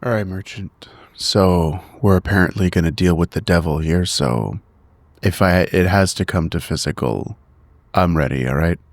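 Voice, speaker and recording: Gruff tough-guy agent, voiced by a man at the game table: bottom-register, gravelly, terse, understated menace. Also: sits low, moderate pace, no room sound. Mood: grim, ready. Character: gruff, physically threatening male investigator.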